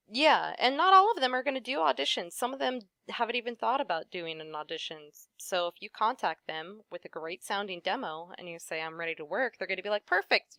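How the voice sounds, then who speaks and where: Young woman, voice actress, bright tone, dry close sound, chatty with fillers. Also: mid-range voice, clean tone, no room sound. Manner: chatty, lots of fillers and laughs. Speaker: voice actress, interview guest. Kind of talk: casual interview chat.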